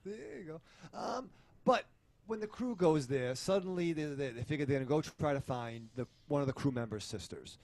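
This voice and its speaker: A man's voice: on the high side, lively intonation, brisk, darkish tone. A man co-hosting a casual panel podcast, talking about a film in a rambling, crude and profane way. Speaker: podcast co-host reviewing a film.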